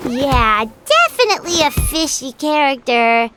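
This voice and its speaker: A woman's voice: sits high, huge pitch swings, a little slow, very noisy, exaggerated voice. A woman voicing a tiny, childlike companion creature in a video game, wary and chatty. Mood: suspicious.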